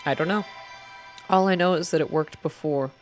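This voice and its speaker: A woman voicing a calm, earnest male activist leader in a story game, measured and persuasive, with music behind. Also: low-pitched, close to an everyday voice.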